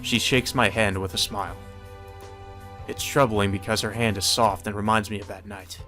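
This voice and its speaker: A man's voice: lowish voice, steady tone, moderate pace, pushed and bright, soft background music. A man reading visual novel narration aloud as a let's player, with casual commentary.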